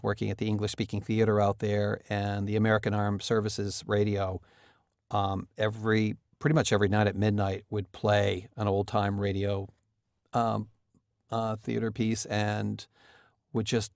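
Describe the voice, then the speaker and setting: A man's voice: fairly low pitch, vocal fry, darkish tone, no echo, clean studio sound. A man chatting casually in an interview, effusive and feeling his way through his thoughts. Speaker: audio drama director being interviewed.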